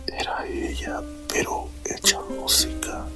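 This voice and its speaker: A man softly whispering a romantic story in a slow, deliberate ASMR narration, close to the microphone. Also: music in the background. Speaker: storyteller reading own written story.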